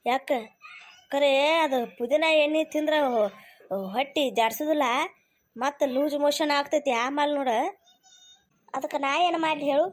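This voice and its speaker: Woman playing a high-voiced cheeky cartoon daughter-in-law, North Karnataka accent. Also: fairly even intonation, moderate pace, pauses often, natural voice. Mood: nagging, warning. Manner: teasing, nagging, explanatory. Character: cheeky, know-it-all young daughter-in-law. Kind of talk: cartoon comedy acting.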